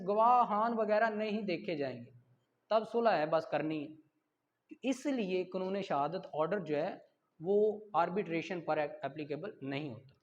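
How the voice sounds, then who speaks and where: A man with a Pakistani accent teaching an exam-prep class, repeating key terms and answering his own questions in a didactic way. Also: high voice. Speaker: law teacher. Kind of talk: exam-prep lecture, reading questions and explaining. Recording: long echoing reverb of a hall.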